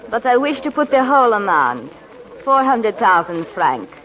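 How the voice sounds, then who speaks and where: Imperious countess, played by an actress, theatrical and sweeping, 1940s radio play, dull recording. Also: female voice, medium pitch, sing-song, moderate pace, close to an everyday voice. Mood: haughty, assured. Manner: grand, formal, theatrical. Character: imperious, confident Russian countess gambling at cards.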